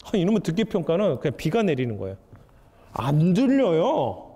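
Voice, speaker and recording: A man's voice: a little high, wildly swooping pitch, normal speed, mellow. A young adult man giving a lecture, asking the audience questions in a candid, conversational way. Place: lecture hall. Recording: hall reverb.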